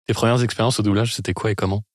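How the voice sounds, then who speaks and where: A man hosting a casual interview, relaxed and joking as he asks loose questions. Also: fairly low pitch, rapid-fire, no echo, studio quality.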